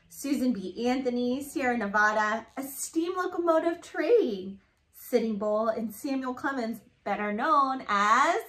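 A woman's voice: on the high side, fairly bright tone. A woman in her thirties reading a picture book aloud to children, sing-song and encouraging, in a living room. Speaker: storytime reader. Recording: roomy, echoey home recording.

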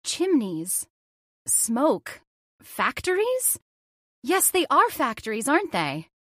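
High-voiced, anxious young woman, acted by a woman. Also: huge pitch swings, takes it slowly, fairly bright tone, no echo, clean studio sound, heavily acted. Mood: uneasy wonder. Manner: pleading, questioning, dramatic. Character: anxious, insistent young woman seeking family secrets. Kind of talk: old-time radio horror acting.

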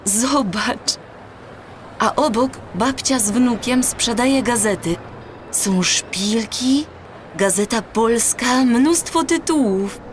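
Actress as tender, wondering young woman, higher voice. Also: slow pace, a little hiss or hum. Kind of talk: Polish audio drama dialogue.

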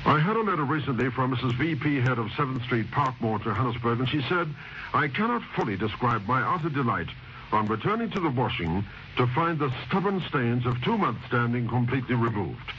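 A man's voice: mid-range voice, very animated, fairly quick. Polite male radio advert announcer, dull recording. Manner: polite, formal, persuasive. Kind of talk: scripted testimonial radio advert. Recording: dull, faintly noisy vintage radio recording.

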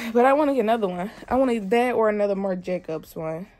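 A woman's voice: a little high, a little fast, darkish tone. A young woman vlogging casually as she cleans her room, rambling and talking straight to her viewers. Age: young adult. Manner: chatty, rambling, addresses viewers. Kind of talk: casual chat.

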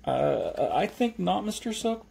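A man acting an awkward, hesitant man, pitch wavering. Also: sing-song, moderate pace, darkish tone, slightly rough, full character voice. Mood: awkward, polite. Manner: stammering, tentative, polite. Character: Jeremy, awkward, hesitant, flustered socially inept man.

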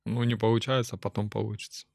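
A young man, a fitness coach and nutritionist, answering podcast questions in a short, laconic way with dry deadpan humor. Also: fairly low pitch, quick pace, creaky, no room sound. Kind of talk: casual podcast interview.

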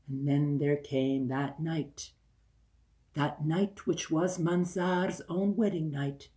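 Older guy telling a folktale, really slow and dramatic, repeats phrases for effect. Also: a little high, fairly even intonation, mellow. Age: older, 60s. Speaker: storyteller. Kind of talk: dramatic oral folktale storytelling. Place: small room indoors by a window.

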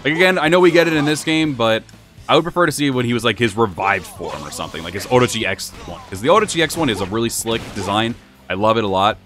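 A young man chatting through a casual let's-play, rambling with many fillers, his voice a little hoarse and wearing thin. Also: mid-range voice, lively intonation, quick pace, fairly bright tone, soft background music. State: slightly hoarse, losing his voice. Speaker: gaming channel host.

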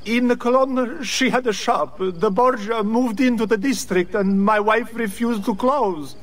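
A man's voice: very high-pitched, measured pace. An actor playing a grieving man in a video game, pleading and sorrowful as he speaks of his murdered fiancée.

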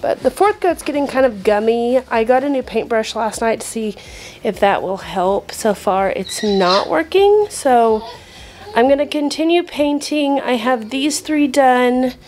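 Chatty young woman, hushed home vlog. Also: a little high, expressive, a little slow, faint music behind. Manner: casual, chatty, often drops to a late-night whisper. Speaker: home vlogger. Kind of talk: casual DIY vlog narration. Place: home, indoors, at night. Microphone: handheld phone camera.

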